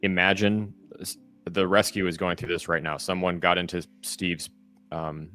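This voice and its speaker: A man co-hosting a morning podcast in casual chat, with a fairly monotone voice, giving practical advice with lots of ums and you-knows. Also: low-pitched, moderate pace, soft background music. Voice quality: relatively monotone voice. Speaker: co-host.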